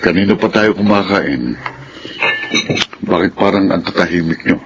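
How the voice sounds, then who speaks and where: Low-voiced, stern Filipino father. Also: normal speed, over loud music. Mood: probing. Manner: probing, authoritative. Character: stern, questioning middle-aged Filipino father. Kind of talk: Filipino radio drama acting. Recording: dull, noisy radio sound.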